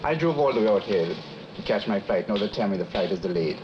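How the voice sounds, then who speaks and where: A man's voice: on the low side, lively intonation, fast, background noise, lightly acted. A man role-playing an exasperated, grumbling airline passenger in a training film. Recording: muffled film soundtrack, busy crowd behind.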